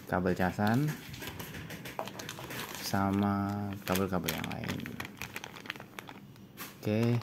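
A man giving a casual product review, talking informally and haltingly as he thinks aloud. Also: deep voice. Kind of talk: casual unboxing and review chat. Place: indoor room with tiled desk. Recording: clearly noisy room recording.

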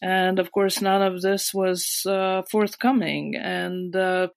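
Give me a hesitant woman in her sixties with a Latvian accent, speaking slowly with a gravelly voice. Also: medium pitch, steady tone, dry recording. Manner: hesitant, many fillers and restarts. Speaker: guest. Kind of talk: interview recollection.

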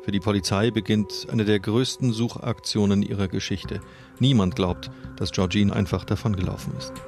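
A man's voice: on the low side, steady tone, normal speed, creaky. A man reading scripted true-crime narration. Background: music.

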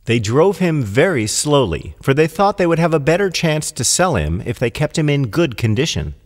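A man narrating a scripted storybook tale in an expressive, deliberate storytelling manner. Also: on the high side. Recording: long hall-like reverb.

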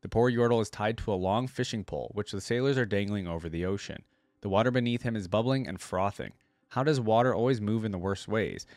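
A man's voice: fairly low pitch, fast talker, a little mellow, no room sound, clean studio sound. A young man reading a story aloud and commenting casually as a reaction video host. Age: young adult.

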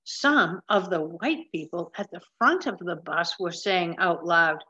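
A woman in her seventies reading a picture book aloud in a deliberate way, with emphatic pauses. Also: lowish voice, animated, bright, forceful tone, lo-fi sound. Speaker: storybook reader. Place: large room at home with wooden ceiling, indoors.

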